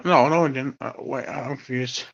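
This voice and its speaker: Man in his thirties, casual co-host, bright voice, wanders and corrects himself, lo-fi audio. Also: medium pitch, normal speed, gravelly. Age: 30s. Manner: rambling, self-correcting, excitable. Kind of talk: casual chat.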